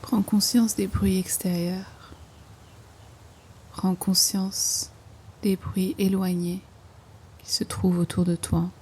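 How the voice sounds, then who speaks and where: Female meditation guide, dark soft tone, level pitch, hushed phrase endings, faint ambient noise behind. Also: little pitch movement, slow pace, long pauses. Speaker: yoga nidra guide. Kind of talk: guided meditation, slow soothing instruction.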